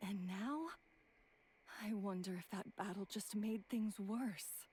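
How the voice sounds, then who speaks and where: A woman's voice: mid-range voice, slow, noisy background, lightly acted. A woman voicing a worried, polite young traveller in a video game with an earnest delivery.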